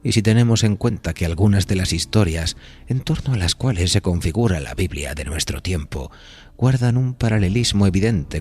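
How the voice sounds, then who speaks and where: A man with a low, gravelly voice narrates a scripted horror podcast. His delivery is solemn and literary, shaped as deliberate storytelling. Music plays faintly behind him. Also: darkish tone. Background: music bed.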